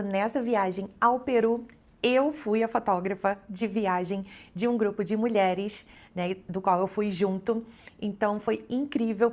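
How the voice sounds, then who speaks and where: A middle-aged Brazilian woman, a travel vlogger and photographer, chatting casually to her viewers with lots of little fillers. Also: mid-range voice. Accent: Brazilian Portuguese. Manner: chatty, frequent "né" and "então" fillers. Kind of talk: casual chat to camera.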